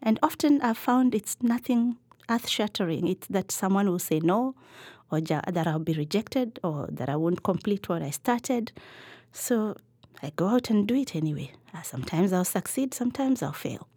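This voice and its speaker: A middle-aged woman from Kenya, a podcast guest answering in a thoughtful, measured way with some fillers. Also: mid-range voice, lively intonation, a little mellow, husky, no echo. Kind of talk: reflective interview answers.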